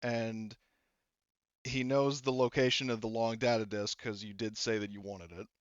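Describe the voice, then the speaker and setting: A man improvising narration as a tabletop game master, conversational, halting and casually profane. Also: lowish voice, flat delivery, no room sound, dull audio.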